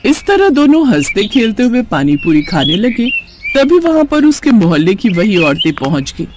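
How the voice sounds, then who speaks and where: A man's voice: sits high, very animated, normal speed, noisy background. A man reading scripted narration for a cartoon family story.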